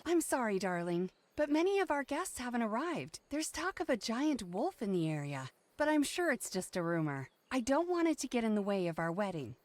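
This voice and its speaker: Fairy-tale game: affectionate young bride, gentle, earnest, lightly high and very expressive. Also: female voice, normal speed. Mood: apologetic. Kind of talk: fantasy game voice acting. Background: music.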